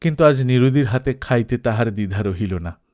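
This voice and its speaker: A man reading a literary novel aloud as an audiobook storyteller, expressive, with dramatic pauses. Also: mid-range voice. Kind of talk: scripted literary narration.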